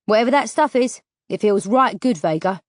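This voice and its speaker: A woman's voice: mid-range voice, expressive, moderate pace, no echo, lightly acted. An easygoing, plain-spoken rustic lad from an audiobook, acted by a woman. He talks in friendly, folksy phrases, in a very dry recording. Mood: relaxed, friendly. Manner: folksy dialect grammar.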